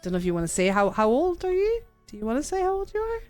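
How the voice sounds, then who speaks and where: A woman's voice: sits high, wildly swooping pitch, rapid-fire. Chatty woman in her forties hosting a casual chat, faint music behind. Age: 40s. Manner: chatty, laughs often, swears casually. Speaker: podcast host and streamer.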